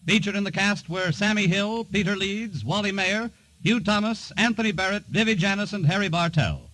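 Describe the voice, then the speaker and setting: A man's voice: high voice, moderate pace, a bit bright, no echo, low-quality recording. A man with an American accent reading formal scripted credits as a 1950s radio announcer. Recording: vintage radio broadcast.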